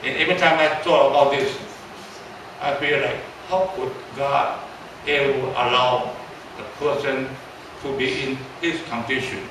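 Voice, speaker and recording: A man in his seventies with a Taiwanese accent, preaching a storytelling sermon in a halting way, repeating words and asking his listeners questions. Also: mid-range voice, fairly even intonation. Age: 70s. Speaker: pastor. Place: church hall. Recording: echoey church hall from far off with background noise.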